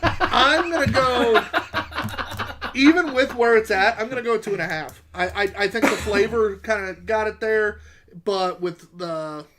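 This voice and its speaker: A man's voice: high voice, fairly bright tone. A man hosting a casual chat show, talking bluntly and informally with some swearing. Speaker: podcast host. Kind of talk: casual chat, beer reviewing.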